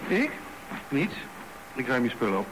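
A man's voice: medium pitch, sing-song, normal speed, lightly acted. An actor playing a jealous, suspicious husband in his fifties in a radio drama, probing and insinuating. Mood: accusing, evasive. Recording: noisy radio broadcast recording.

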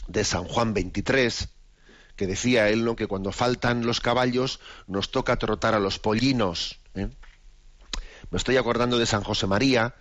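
Older priest rambling thoughtfully, lowish voice, dry dull audio. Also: male voice, fairly bright tone, dry recording. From Spain. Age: 60s. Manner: rambling and reflective, many fillers and restarts. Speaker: bishop. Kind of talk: informal spoken reflection.

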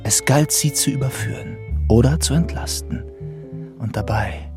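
A man's voice: mid-range voice, moderate pace, gravelly, music in the background, natural voice. A man playing a detective and dreamy pulp crime writer in a theatrical, brooding manner in a German radio drama. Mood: melodramatic, brooding.

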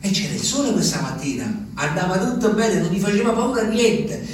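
A man's voice: high-pitched, expressive, brisk, crowd noise. An actor playing an ageing, lonely peasant man, rambling and repeating himself in a theatrical monologue. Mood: brooding. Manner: rambling, repetitive, swings from hushed to exclaiming. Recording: echoey, distant stage recording, faint noise.

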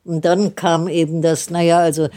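A woman's voice: fairly low pitch, level tone, normal speed, dark tone. An older woman interviewee recalling past work in casual, rambling, conversational speech. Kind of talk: casual spoken recollection.

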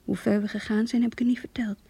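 An actress playing a heartbroken young woman who confides her lost love in an intimate, halting radio play monologue, breathy and hushed. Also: on the high side, level tone, no room sound. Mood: wistful.

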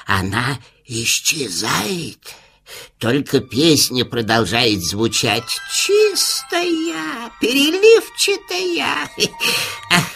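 A jolly old traveller grandpa, low, really swoopy, unhurried, fairy tale audio play. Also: female voice, a bit bright, exaggerated voice. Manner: exclamatory, folksy. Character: cheerful kindly old wandering man. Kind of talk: children's fairy-tale acting.

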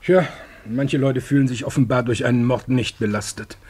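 An actor playing a talkative middle-aged police official in a German radio play, speechifying and explaining patiently. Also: male voice, mid-range voice, steady tone, normal speed, a little mellow, husky, close to an everyday voice. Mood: amused, explaining.

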